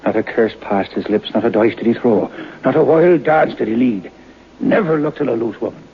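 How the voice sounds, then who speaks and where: An actor playing a knowing folk-tale storyteller, narrating an old-time radio drama in an unhurried way. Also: male voice, medium pitch, lively intonation, husky. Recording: muffled, hissy old radio broadcast.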